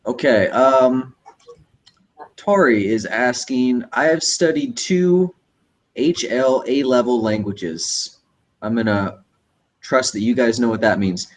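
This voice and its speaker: A man moderating a livestream Q&A, reading out student questions in a measured way. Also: mid-range voice, slight background noise. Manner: measured, reads questions aloud slowly. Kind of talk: casual livestream Q&A.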